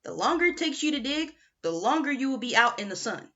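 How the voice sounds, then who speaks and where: A gruff, domineering camp boss in a cowboy hat, played by a woman reading a storybook, giving blunt orders. The delivery is punchy and swings widely in pitch, and the home recording sounds dull. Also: very animated, a little fast, bright, forceful tone, heavily acted. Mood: stern, bossy. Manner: commanding, blunt.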